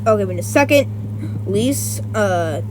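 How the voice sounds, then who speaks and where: A woman's voice: mid-range voice, lively intonation, a little slow, slight background noise, low-quality recording. A woman giving casual versus-battle commentary, rambling with many restarts and self-corrections and some casual swearing. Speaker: YouTuber.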